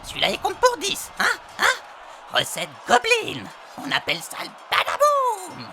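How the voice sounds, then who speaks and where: A performer voicing a cocky, boastful little goblin fighter with a squeaky, creaky voice in a fantasy audio drama. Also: huge pitch swings, normal speed, a little hiss or hum, exaggerated voice. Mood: gleeful bragging. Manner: boastful, exclamatory, swooping. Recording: loud music over the voice.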